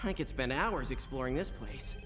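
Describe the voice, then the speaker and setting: Loud music runs behind an actor playing a teenage boy hero in an animated game. The delivery is lively and swooping, brash, breezy and quippy. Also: mid-range voice, moderate pace, close to an everyday voice. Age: teenage character. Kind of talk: animated video game voice acting.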